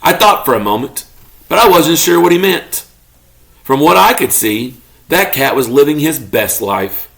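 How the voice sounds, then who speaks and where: A man reading a children's story aloud expressively, as a parent does to a child, pausing to ask questions. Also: medium pitch, gravelly, fairly bright tone. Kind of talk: expressive children's read-aloud, narrating as a pet dog.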